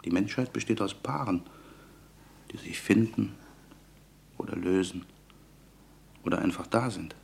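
Casting: young wartime resistance man in a radio drama; low, gravelly, hushed, tender and wry. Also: unhurried, long pauses. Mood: musing, intimate. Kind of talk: German radio play acting, intimate dialogue and narration. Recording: faint background noise.

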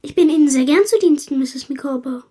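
A woman's voice: high-pitched, a little fast, dark tone, natural voice. A woman voicing a polite, brave, earnest young boy in a German radio drama. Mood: eager, polite. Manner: polite, well-bred, earnest.

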